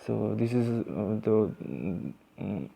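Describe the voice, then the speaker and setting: A man's voice: fairly low pitch, expressive, slow pace, creaky, dark tone, a little hiss or hum. A man with an Indian accent teaching English vocabulary in a casual, hesitant way, with many fillers and restarts. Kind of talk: informal vocabulary lesson.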